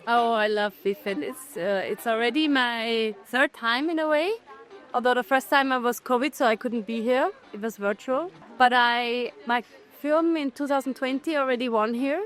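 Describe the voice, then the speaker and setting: A middle-aged woman from Austria, a documentary filmmaker answering festival interview questions in a thoughtful way, pausing and restarting as she looks for words. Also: on the high side, fairly bright tone, soft background music.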